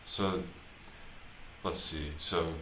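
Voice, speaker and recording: A man thinking aloud as he live-streams his programming work, talking casually and haltingly, muttering to himself as he goes. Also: extremely low pitch, fairly even intonation, fairly bright tone. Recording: roomy echo, clear background noise, typing.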